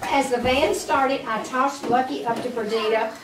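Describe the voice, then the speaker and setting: A woman's voice: on the high side, steady tone, moderate pace. An older woman reads a storybook aloud to a small child, expressively and with little explanatory asides. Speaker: grandmother reading to a child. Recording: roomy, distant mic, music behind. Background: music.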